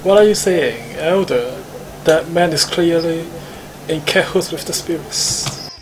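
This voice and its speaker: A man's voice: fairly high pitch, unhurried, natural voice, low-quality recording. A man voicing a protesting young man in a visual novel read aloud. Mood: indignant. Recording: clear music behind the voice.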